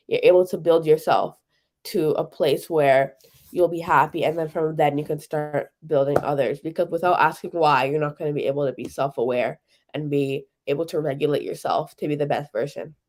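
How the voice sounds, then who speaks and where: A man's voice: on the high side, steady tone, moderate pace, a little mellow. A man co-hosting a talk show, thoughtful and a little hesitant as he speaks. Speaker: co-host. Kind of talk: casual talk show chat.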